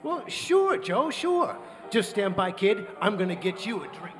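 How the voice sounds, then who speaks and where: Casting: jovial showbiz buddy, extremely high swooping voice, slangy, radio play in a theater hall. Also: male voice, measured pace, soft background music, full character voice. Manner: slangy, excitable. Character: breezy, chummy assistant director man, jovial buddy. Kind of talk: old-time radio drama acting. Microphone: stand microphone on stage. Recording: live theater stage, long reverb.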